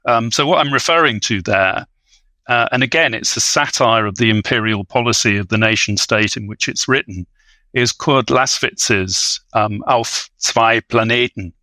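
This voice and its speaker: A man's voice: mid-range voice, moderate pace, pushed and bright, dry recording, poor audio. A man speaking as an expert podcast guest in an academic discussion, with hesitant, scholarly, wordy phrasing.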